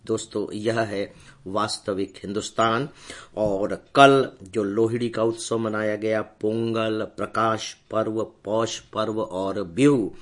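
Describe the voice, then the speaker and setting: An older man with a hoarse voice giving a measured, warm motivational talk to his students. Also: fairly low pitch. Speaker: educator addressing students.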